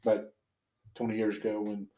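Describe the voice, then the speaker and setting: A middle-aged man with a Southwest Virginia accent speaks in a lowish voice. He answers plainly and briefly, like a retired coach with few words. The room around him is echoey. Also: completely flat delivery, moderate pace, mellow, a little hiss or hum. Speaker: retired basketball coach, now school principal. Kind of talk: casual podcast interview.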